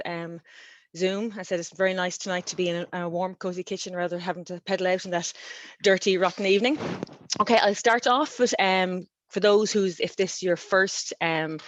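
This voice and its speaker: A woman's voice: fairly low pitch, no echo. Chatty Irish woman hosting a video call. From Wexford, Ireland. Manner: chatty, rambling, with many ums. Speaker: meeting host. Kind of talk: casual online meeting introduction. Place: home kitchen. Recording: dull dry video-call audio.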